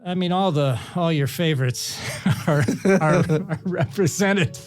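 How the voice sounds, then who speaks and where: A man from Ohio, a podcast guest who talks slowly and hesitantly with short laughs. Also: a little high, faint music behind. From Ohio, United States. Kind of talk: casual podcast chat.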